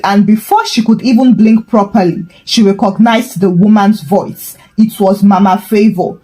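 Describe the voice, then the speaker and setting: A woman's voice: mid-range voice, warm tone, poor audio. A woman storyteller giving a scripted, dramatic narration of a folktale.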